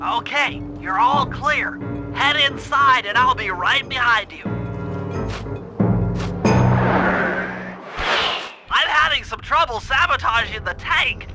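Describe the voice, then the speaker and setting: A man's voice: extremely high pitch, a little slow. A man voicing a brainy, cautious turtle tech expert in a cartoon video game, nasal, precise, wordy and earnest. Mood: focused. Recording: music behind the voice.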